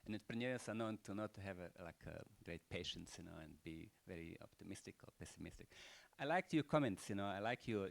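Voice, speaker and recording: Older man, croatian accent, lowish voice, rambling closing remarks. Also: a little hiss or hum. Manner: rambling, peppered with "you know", rhetorical questions. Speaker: entrepreneur giving closing remarks. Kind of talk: unscripted speech at a panel event.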